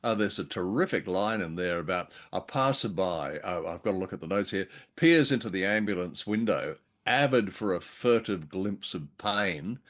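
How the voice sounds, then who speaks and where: A man co-hosting a conversational review of radio drama, speaking in a halting, wry way. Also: sits low, animated, fairly bright tone. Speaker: co-host and commentator.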